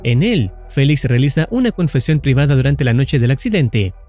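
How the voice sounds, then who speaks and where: A man narrating a scripted analysis of a horror series in a measured, explanatory way. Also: medium pitch, sing-song, over music. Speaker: lore channel narrator.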